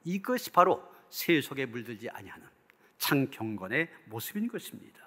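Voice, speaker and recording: Forceful male pastor, ringing hall reverb. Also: medium pitch, sing-song, moderate pace, slightly rough. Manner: earnest, rhetorical, emphatic. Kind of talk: sermon preaching, closing in prayer. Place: church hall.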